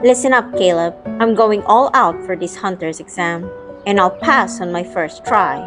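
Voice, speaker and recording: A spirited young woman played by a woman speaks with teasing affection, as to a childhood friend. It is scripted audio drama, mid-pitched, with music clearly heard behind her. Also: normal speed, natural voice. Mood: earnest, spirited. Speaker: first-person narrator.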